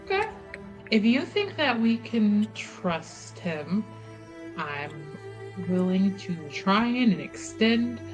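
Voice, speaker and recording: A woman playing a tabletop roleplaying game, giving dry, questioning short replies in character. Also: mid-range voice. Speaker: player.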